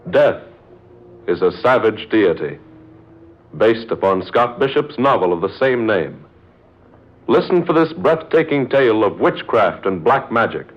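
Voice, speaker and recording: A man with an American accent announcing a 1940s radio drama in a formal, dramatic, scripted delivery. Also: medium pitch. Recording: muffled, noisy old radio broadcast.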